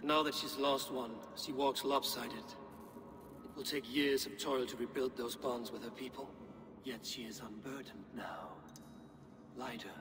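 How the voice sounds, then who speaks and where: An actor playing a stoic, grave Viking warrior in a video game, speaking in a measured and solemn way. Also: male voice, medium pitch, steady tone, close to an everyday voice. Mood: quiet, reflective. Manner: measured, solemn, plain-spoken. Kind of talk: dramatic video game cutscene acting.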